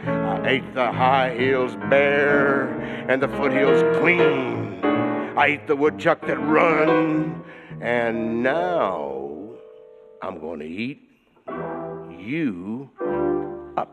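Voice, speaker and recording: A man voicing a huge, greedy, boastful male bear who talks in a slow, menacing drawl. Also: mid-range voice, lively intonation, long reverb tail, over loud music, pitched below the performer's own voice.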